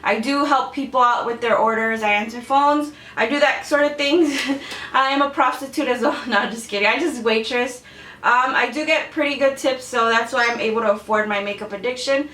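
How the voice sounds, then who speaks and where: A woman's voice: on the high side, normal speed, pushed and bright. A woman in her twenties chatting casually to camera in a beauty Q&A, rambling with lots of "like"s and little laughs. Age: 20s. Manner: chatty, rambling with many "like"s, giggly. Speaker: beauty vlogger. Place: bedroom filming space. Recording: roomy, from a distance.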